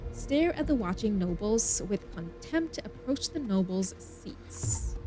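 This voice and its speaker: A woman game streamer reading story text aloud, stumbling over words now and then and breaking off into expressive asides. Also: mid-range voice, slow, low-quality recording. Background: music.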